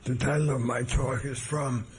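An older man with a hoarse voice giving an earnest, measured speech to an audience, with some halting restarts. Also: mid-range voice, fairly even intonation. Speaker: keynote speaker. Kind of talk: formal speech at an event. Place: meeting hall with an audience.

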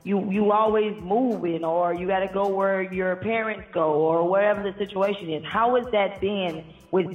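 A man's voice: high voice, normal speed, few pauses. An American man hosting a casual interview, encouraging and slangy, often repeating his phrases. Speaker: interview show host. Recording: dull reverberant sound, music behind.

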